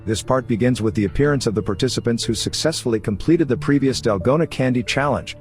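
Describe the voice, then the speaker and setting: A man's voice: mid-range voice, fast, faint music behind. A man reading scripted narration for a story recap video, as its narrator.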